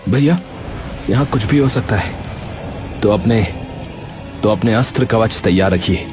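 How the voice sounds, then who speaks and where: An actor playing an earnest young hero in a dramatized story, speaking with urgency and drama. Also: male voice, fairly low pitch, a little slow, music in the background, close to an everyday voice. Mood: tense, resolute. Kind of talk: acted Hindi audio story dialogue.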